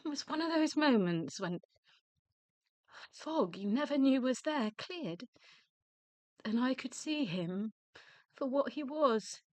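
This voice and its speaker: A weary widow in a radio play, high in pitch and full of feeling. Her phrases come slowly and haltingly, with deliberate pauses, in a very dry, close acoustic. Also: lively intonation, no room sound. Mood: regretful, confiding. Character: weary, emotional widow of a dead scientist. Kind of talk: radio drama acting.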